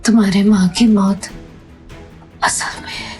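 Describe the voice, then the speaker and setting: A woman playing a dying aunt in an audio drama, halting and whispering in pain. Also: medium pitch, flat delivery, a little mellow, soft background music, close to an everyday voice. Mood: pained, failing. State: in pain, gasping. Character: dying aunt gasping out a dark secret.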